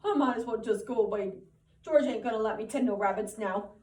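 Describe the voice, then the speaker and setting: A woman reading aloud and voicing a big, simple-minded man who pleads in a loud rural drawl, heard from a distance in a roomy space. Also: a little high, fairly quick, natural voice. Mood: pleading.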